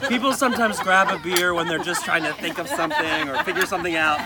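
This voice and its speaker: Mid-pitched voice, very animated, amused comic reading aloud, laughter-prone, noisy crowd around. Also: fast talker. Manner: amused, often breaking into laughter while reading. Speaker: reader of a family letter. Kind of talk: reading a humorous letter aloud to family. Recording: loud crowd chatter, outdoor family gathering. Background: people chatting and laughing.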